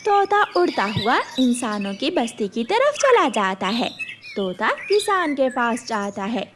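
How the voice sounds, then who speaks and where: A woman's voice: on the high side, big pitch swings, unhurried, noisy background. A woman narrating a scripted children's cartoon story.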